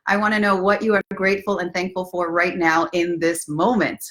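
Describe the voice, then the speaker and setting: A woman's voice: fairly low pitch, flat delivery, brisk, a bit bright. A woman hosting a casual live story time, speaking directly to viewers in an encouraging, playful way as a self-love coach. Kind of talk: casual live-stream chat with guided breathing and book reading.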